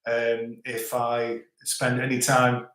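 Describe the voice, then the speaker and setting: Chatty man with softened Scouse accent. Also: mid-range voice, completely flat delivery, slow. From Liverpool, England. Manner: chatty, rambling, stops and restarts. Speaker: live stream host. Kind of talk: casual live chat reading out viewer comments. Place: home in the French countryside. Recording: roomy echo.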